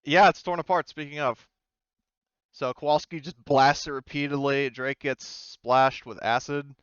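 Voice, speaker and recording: A man runs a tabletop roleplaying game as game master, narrating casually. His voice is smooth and mid-pitched. It comes through dry, on slightly muffled lo-fi audio. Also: fairly even intonation, measured pace, a bit bright, no echo.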